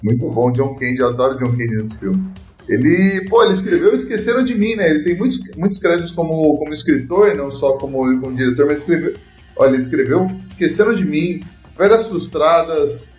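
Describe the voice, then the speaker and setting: A man's voice: on the high side, animated, brisk, darkish tone, echoey room, faint music behind. A man with a Brazilian Portuguese accent hosting a casual film podcast, enthusiastic and full of "cara" and "né". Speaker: podcast host.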